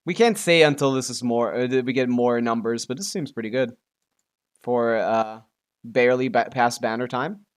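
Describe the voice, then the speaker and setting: A man's voice: mid-range voice, moderate pace, clean tone. A male streamer talks casually through his game in an ordinary mid-range voice. He mutters half to himself and half to his viewers, with loose, offhand swearing. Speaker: live streamer. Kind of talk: casual gaming livestream chatter.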